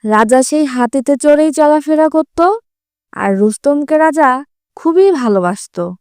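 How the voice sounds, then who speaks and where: A woman's voice: sits high, expressive, measured pace, a little mellow, no echo, clean studio sound. A woman narrating a scripted children's fable as a storyteller.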